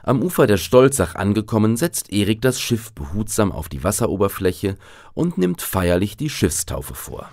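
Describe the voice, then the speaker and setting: Animated, low-pitched male storyteller. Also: normal speed, dry recording. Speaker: host and narrator. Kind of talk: scripted children's radio play narration.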